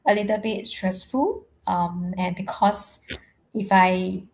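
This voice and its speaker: A woman's voice: mid-range voice, slow. A woman introduces herself as co-host of an online session, hesitant with frequent ums. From Malaysia.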